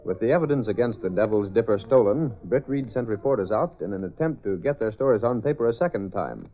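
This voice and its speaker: A man's voice: lowish voice, animated, fairly quick, narrow, tinny audio. A man with an American accent narrating a radio serial as announcer, formal and declamatory in old-time broadcast style. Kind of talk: 1930s-40s radio scripted narration. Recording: band-limited vintage radio, faint music.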